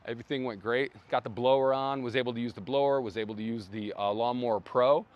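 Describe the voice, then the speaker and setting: A man hosting a casual brand livestream outdoors, rambling with many fillers and restarts, his voice going hoarse. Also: medium pitch, fairly even intonation, quick pace, highs cut off. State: losing his voice. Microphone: phone.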